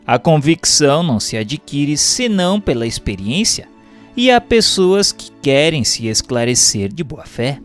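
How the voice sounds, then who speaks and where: A Brazilian man narrates a formal text in a slow, didactic manner. His intonation moves widely, giving the measured reading plenty of shape. Music plays softly behind his voice. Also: on the high side, very animated. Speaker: audiobook narrator reading a Spiritist book.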